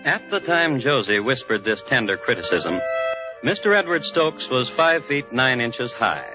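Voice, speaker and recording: Casting: male actor for wry scripted storyteller, mid-pitched, vintage radio sound, slightly muffled with music underneath. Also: expressive, close to an everyday voice. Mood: measured, ironic. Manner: wry, measured, literary. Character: wry male storyteller recounting old true crimes. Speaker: host and narrator. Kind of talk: scripted 1950s radio narration. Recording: dull old radio recording with music.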